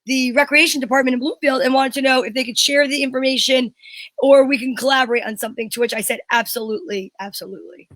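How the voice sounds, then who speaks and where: Bright, high-voiced woman chatting live. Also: fairly even intonation, quick pace, dry recording. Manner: chatty, enthusiastic. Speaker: co-host of a live online variety show. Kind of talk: casual live chat.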